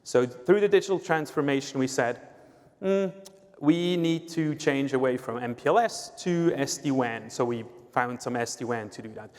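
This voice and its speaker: A man from Switzerland talks with a Swiss accent at a middle pitch. He explains step by step as a guest on a panel and often checks in with "right?". A long hall reverb trails his words. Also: normal speed, darkish tone. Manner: explanatory, uses filler "uh" and "right?". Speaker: sales executive, guest speaker. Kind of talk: conference panel talk.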